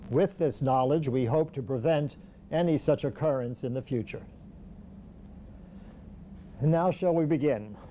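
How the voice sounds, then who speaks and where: A man interviewing for an oral history project, asking short, measured questions. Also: medium pitch, mellow, a little hiss or hum. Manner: measured, brief prompting questions.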